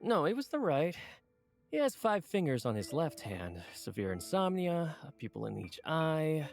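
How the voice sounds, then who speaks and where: An earnest, polite male editor from a video game. His voice is expressive with a creaky edge, courteous and explanatory, with music underneath. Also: on the high side, moderate pace, full character voice. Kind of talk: video game character dialogue.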